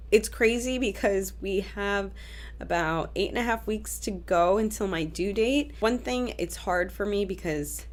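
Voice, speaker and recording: Stuffy-nosed young woman vlogging casually. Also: lowish voice. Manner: chatty, relaxed, lots of fillers and restarts. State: stuffy nose from a cold. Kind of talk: casual pregnancy update vlog.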